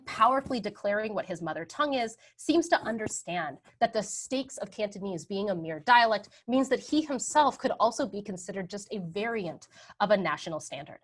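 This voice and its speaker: A woman in her thirties giving an academic history lecture over slides, relaxed and conversational, often checking in with "right?". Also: medium pitch. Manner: conversational, frequent "um" and "right?" tags.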